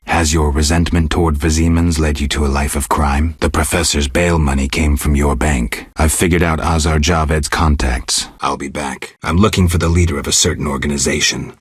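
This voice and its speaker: Game lead: cynical monster-hunting mutant man, rock-bottom pitch, very gravelly, deadpan and curt. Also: dry recording, heavily acted. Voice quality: gravelly, husky. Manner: terse, deadpan, dry. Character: gruff, cynical mutant monster hunter, adult man, coolly sardonic. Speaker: video game protagonist. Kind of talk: video game character dialogue.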